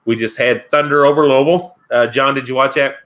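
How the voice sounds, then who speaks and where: A man's voice: medium pitch, fairly even intonation, brisk, fairly bright tone. A man from Kentucky with a Kentucky accent, hosting his first live stream and chatting casually with viewers, rambling and chuckling as he answers comments. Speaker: outdoors channel host.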